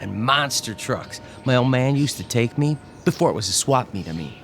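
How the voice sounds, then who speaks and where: A man's voice: medium pitch, lively intonation, moderate pace, slight background noise, lightly acted. An actor playing an easygoing worker in his twenties, slangy and teasing, in a radio drama. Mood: casual, curious. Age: 20s. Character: easygoing twenty-two-year-old male worker, cheeky and slangy.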